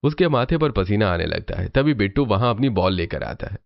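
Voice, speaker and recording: A man's voice: fairly low pitch, expressive, brisk, creaky. A man narrating a scripted cartoon story. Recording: muffled audio over loud music.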